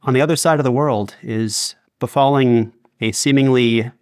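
A man's voice: mid-range voice, moderate pace, clear, resonant tone, no room sound, clean studio sound. A man talking casually as a podcast guest about producing audio drama, in an interview style.

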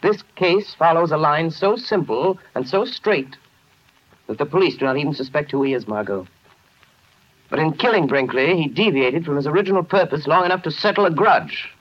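An actor playing a brilliant, grave gentleman sleuth in a 1930s radio drama, with measured, authoritative delivery. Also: fairly high pitch, animated. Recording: muffled vintage radio recording.

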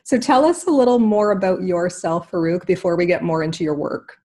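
Woman host with a slightly low voice, friendly and encouraging. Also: a little fast, a little mellow. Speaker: podcast host, physiotherapist. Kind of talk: casual interview chat.

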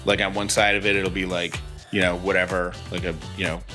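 Guy on a podcast, lowish bright forceful voice, jokey and wry, casual. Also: level tone, a little fast, soft background music. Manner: wry, joking. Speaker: podcast co-host. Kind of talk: casual chat.

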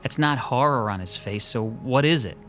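A man's voice: medium pitch, normal speed, fairly bright tone, soft background music, lightly acted. An actor playing an anxious, lonely male artist who talks in an uneasy, pleading way, in an audio drama.

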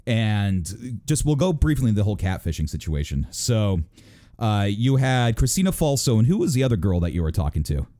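A man's voice: fairly low pitch, very animated, fairly quick, dark tone, no echo, studio quality. A man hosting a casual, bantering interview in a teasing, profane way. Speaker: host.

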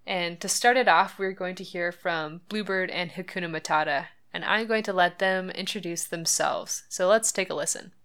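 Woman podcast host, low and smooth, earnest chatty read, light laughs. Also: moderate pace, few pauses, a bit bright. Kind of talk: scripted podcast monologue.

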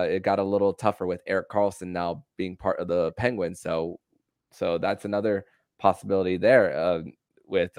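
A man hosting a sports podcast with casual commentary, speaking haltingly and often stumbling over repeated words. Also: low voice, darkish tone, no echo, studio quality.

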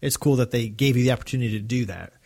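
A man's voice: medium pitch, racing, a little mellow, slightly rough. A man co-hosting a podcast, recapping casually with a fan's enthusiasm. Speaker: podcast co-host.

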